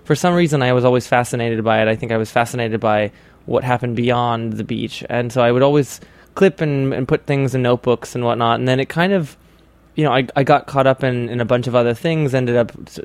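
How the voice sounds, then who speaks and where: Young man, American accent, casual interview guest, halting storyteller, mid pitch, dry sound. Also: steady tone, clean tone, no echo. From Hawaii, United States.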